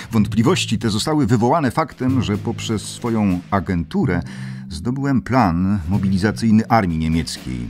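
An actor playing a bitter captured Polish spy giving measured, formal testimony to interrogators in an audiobook. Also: male voice, lowish voice, darkish tone, natural voice.

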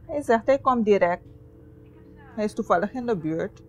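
A woman's voice: medium pitch, animated, normal speed, natural voice. A woman playing a polite young woman hosting a date, in naturalistic film dialogue. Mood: casual, defensive. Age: young adult. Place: dim living room indoors.

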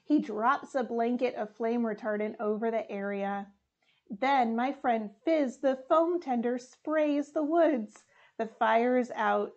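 A young woman reads a picture book aloud for children. Her voice is high and she takes her time, reading deliberately and expressively. The indoor recording sounds slightly dull and lo-fi. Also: a little mellow. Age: young adult. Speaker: firefighter reading a storybook. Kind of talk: children's storybook read-aloud. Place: small room indoors.

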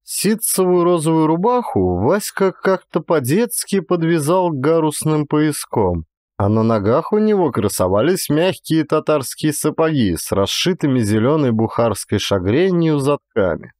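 Male narrator, literary audiobook, animated intonation, dry sound. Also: fairly high pitch, moderate pace, dry recording. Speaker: actor reading an audiobook.

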